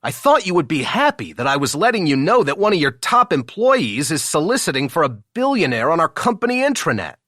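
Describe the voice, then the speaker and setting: Man acting out a cocky, high-pitched teasing best friend. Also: sing-song, a little fast, few pauses, a bit bright, no room sound, studio quality. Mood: excited, needling. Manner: brash, needling, jokey. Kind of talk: audiobook character dialogue.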